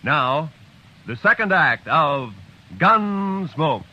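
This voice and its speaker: A man announcing for network radio in a crisp, emphatic, promotional 1950s style. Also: a little high, very animated, very slow, dull audio.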